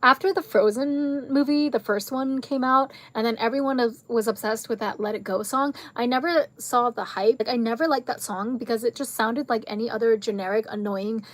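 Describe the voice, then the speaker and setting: A woman's voice: on the high side, normal speed, hardly any pauses. Chatty woman losing her voice. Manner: chatty, rambling, jumps between tangents; frequent fillers like "like" and "um". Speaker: video creator reviewing a film. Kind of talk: casual chat to camera.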